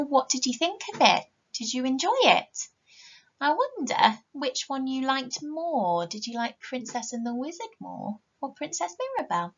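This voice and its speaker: A woman's voice: fairly high pitch, vocal fry, lo-fi sound. A woman, a primary teacher reading a story to young children and asking them questions. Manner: expressive, addressing young children. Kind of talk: children's story read-aloud with questions to listeners.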